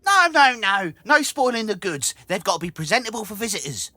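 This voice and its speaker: Male, servile, venal lackey for audio drama; bright husky voice, lively hesitant delivery. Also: sits high, brisk, heavily acted. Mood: protesting, deferential. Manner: hesitant, deferential, colloquial. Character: dim, servile, venal jail underling.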